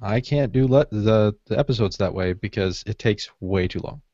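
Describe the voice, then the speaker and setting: A man with a fairly low voice chats casually as a podcast co-host. The recording is very dry and somewhat muffled. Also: normal speed, mellow, no echo.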